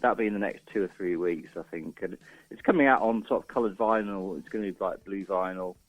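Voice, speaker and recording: Lowish, informal man in his forties. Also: quick pace, no echo, muffled recording. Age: 40s. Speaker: radio guest, doctor and record label owner. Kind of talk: casual chat.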